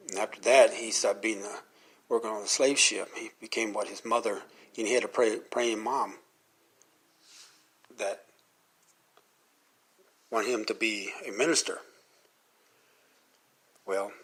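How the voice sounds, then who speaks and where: A man's voice: fairly low pitch, fairly bright tone, breathy edge. A man of fifty-nine giving an informal devotional talk in a rambling, conversational, earnest manner.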